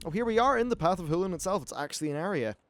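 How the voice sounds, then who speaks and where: A man's voice: high voice, animated, racing, a bit bright. A man hosting a gaming video, giving casual, informal gameplay commentary with some swearing.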